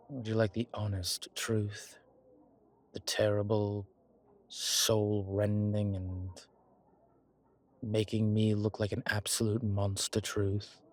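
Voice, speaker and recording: A man playing an old, vain, genteel vampire in an intimate audio drama, speaking formally and theatrically with hesitant pauses. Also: lowish voice, level tone. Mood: rueful, hesitant.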